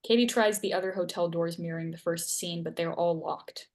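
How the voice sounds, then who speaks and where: A woman with a somewhat low voice reads stage directions aloud. It is scripted narration for a live table read, describing each action and scene heading in turn. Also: steady tone, brisk, a little mellow.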